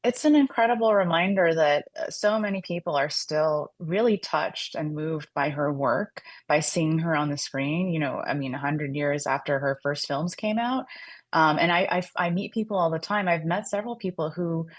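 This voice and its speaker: Deep-voiced woman explaining fluently. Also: normal speed. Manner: fluent and explanatory, often adds a tag "right?". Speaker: author and guest. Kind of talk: radio interview.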